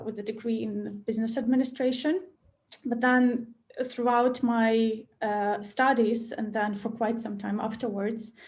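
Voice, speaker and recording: A woman's voice: on the high side, a little mellow. A woman hosting a webinar discussion, speaking hesitantly with many fillers. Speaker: webinar host.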